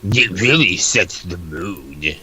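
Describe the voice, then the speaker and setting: Man, fairly low voice, animated intonation, casual joking co-host chat. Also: takes it slowly, crowd noise, poor audio. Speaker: co-host of a comic read-along.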